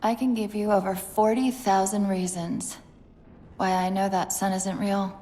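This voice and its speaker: A woman's voice: medium pitch, little pitch movement, measured pace, close to an everyday voice. An actress playing a clever, fiercely loyal female AI who is coming apart, speaking in a precise, articulate way in a video game cutscene. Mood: wistful. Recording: game audio with loud score.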